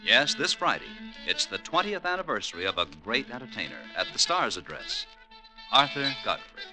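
A man's voice: mid-range voice, sing-song, moderate pace, poor audio. An American man announcing credits and promos in an emphatic, polished 1950s radio style, on a vintage broadcast recording with music behind him.

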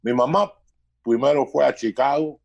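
A man's voice: medium pitch, normal speed, vocal fry, darkish tone, dry recording, muffled recording. A middle-aged man with a Cuban accent shaped by New Jersey, a guest comedian in a loose, joking interview over a video call, mixing Spanish with English. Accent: Cuban, raised in New Jersey. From Cuba. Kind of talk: casual interview chat.

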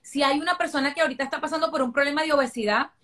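A Venezuelan woman in her forties with a bright, high voice. She talks emphatically as an interview guest, stressing points by repeating them. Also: very fast. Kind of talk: conversational interview.

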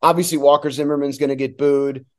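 A man who works as a sports announcer, giving casual, somewhat halting analysis as a guest on a talk show. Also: on the high side, little pitch movement, darkish tone.

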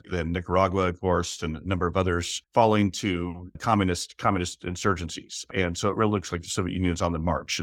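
A man answering interview questions about history, measured and full of small restarts. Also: deep voice, fairly bright tone, no room sound, studio quality. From United States. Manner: measured, with frequent restarts and fillers. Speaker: historian guest.

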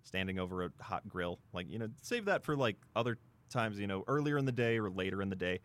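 Low-voiced American man chatting on radio. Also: very animated, fast. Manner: hesitant, peppers talk with "you know". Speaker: sports radio co-host. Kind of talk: casual chat.

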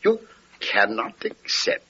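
An actor playing a magnanimous, imperious Roman emperor in a classic radio drama, speaking in a grand, stately manner. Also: male voice, slow pace, sharp tone. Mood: insistent. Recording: dull, noisy old radio recording.

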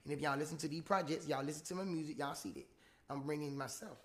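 A man's voice: fast, a bit bright. A young man. He is an independent rap artist talking casually in an interview, full of street slang, calling people "bro" and checking in with "you know what I'm saying". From Portsmouth, Virginia. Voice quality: high-pitched voice.

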